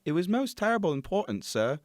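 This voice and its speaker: Man acting an eager, high-pitched servant boy. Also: big pitch swings, a little fast, no room sound, clean studio sound. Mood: deferential. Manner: deferential, colloquial, eager. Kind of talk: radio drama acting.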